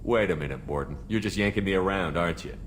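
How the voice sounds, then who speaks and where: An actor playing a steady human military commander in a video game, asking direct questions in a plain, businesslike way. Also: male voice, low-pitched, quick pace, close to an everyday voice. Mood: direct, probing. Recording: loud background noise, game ambience.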